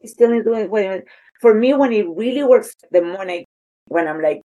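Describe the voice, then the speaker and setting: A woman's voice: medium pitch, animated, moderate pace, dark tone, highs cut off. A woman from Chile with a Chilean Spanish accent, a podcast guest talking casually and animatedly, often restarting sentences as she searches for words. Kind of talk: casual conversation.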